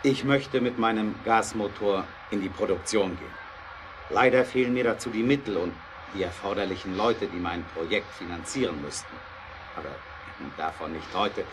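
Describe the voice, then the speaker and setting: A man's voice: fairly low pitch, close to an everyday voice. Earnest inventor over noisy ambience, acted by a man. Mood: modest, hesitant. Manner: earnest, emphatic, halting when explaining. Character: determined, idealistic male inventor and engineer, earnest and passionate. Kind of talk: German audio drama acting.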